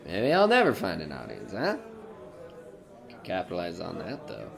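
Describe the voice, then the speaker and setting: A man's voice: deep voice, wildly swooping pitch, moderate pace, vocal fry, fairly bright tone, faint music behind, exaggerated voice. A man playing a drunken, down-on-his-luck comedian in a retro horror audio drama, grumbling in a defensive, world-weary way. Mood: self-pitying.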